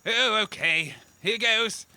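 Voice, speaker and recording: A man playing an excitable, squeamish, grumbling sidekick in a comedy audio drama, flustered and repeating himself. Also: high-pitched, takes it slowly, exaggerated voice. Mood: disgusted.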